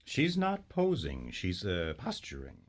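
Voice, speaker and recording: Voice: low voice, wildly swooping pitch, unhurried, full character voice. An actor playing a flustered, evasive and passionate male painter in a comic radio play, theatrical and hesitant.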